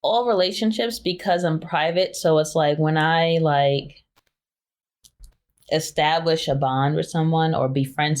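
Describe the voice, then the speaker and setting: Young woman guest, mid-twenties, soft-spoken and halting, candid interview. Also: sits low. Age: 20s. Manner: soft-spoken, many fillers, hesitant.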